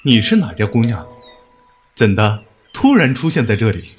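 A courteous young scholar from old times played by a man, with a lively, wide-moving intonation. He speaks formally and bookishly with gentle amusement, in a period audio drama with music and birdsong softly behind. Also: medium pitch, moderate pace, close to an everyday voice. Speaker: voice actor.

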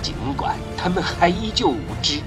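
A man giving expressive, dramatic scripted narration for a radio drama, phrased with deliberate pauses. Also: high-pitched. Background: music.

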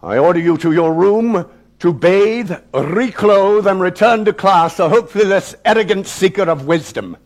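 A man's voice: sits high, animated, normal speed, pitched above the performer's own voice. A man voicing a furious, tyrannical British school headmaster, pompous and exacting in his diction. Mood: cold contempt.

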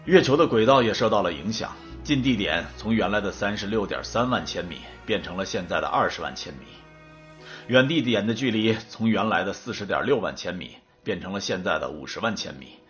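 A man's voice: fairly low pitch, expressive, brisk, fairly bright tone, muffled recording, soft background music. An actor playing a composed male official in an audio drama. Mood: serious explaining. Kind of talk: Chinese audio drama acting.

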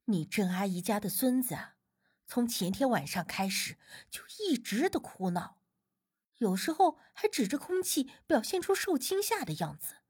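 A woman voicing a warm, neighborly middle-aged mother in an audiobook, familiar and matter-of-fact. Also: medium pitch, husky, no echo, studio quality, voice pushed down.

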